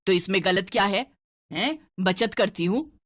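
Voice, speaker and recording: A woman's voice: mid-range voice, measured pace, dry recording, clean studio sound, close to an everyday voice. A woman voicing a stingy, scolding older mother-in-law in a cartoon story. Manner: bossy, penny-pinching, sharp-tongued.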